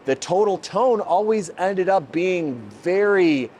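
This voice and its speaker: Higher-pitched man presenting over background noise. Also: animated, slow, darkish tone. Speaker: host, exhaust shop builder. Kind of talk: presenting car exhaust comparison, part scripted, part explanatory.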